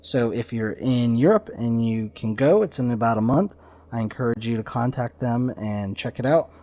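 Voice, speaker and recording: A man's voice: mid-range voice, moderate pace, dark tone. A man hosting a podcast, giving casual introductions in an informal, slightly rambling way. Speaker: podcast host. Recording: slightly dull lo-fi audio.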